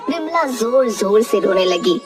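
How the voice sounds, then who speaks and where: A woman's voice: fairly high pitch, animated, unhurried, recorded from a distance, over loud music. A woman narrating a scripted cartoon story as the storyteller.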